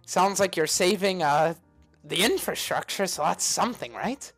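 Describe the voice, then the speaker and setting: A woman putting on a giggling, unhinged male clown villain voice, with shrill cackles and sudden shouts, pranking strangers in a voice chat. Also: husky, exaggerated voice.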